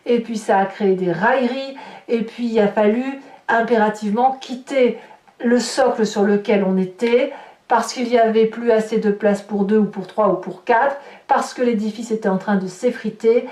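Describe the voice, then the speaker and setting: A woman's voice: on the high side, fairly even intonation, normal speed, a little mellow. A woman giving a tarot reading to her viewers, searching for her words and often repeating them. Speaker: tarot reader and host. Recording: roomy, echoing sound.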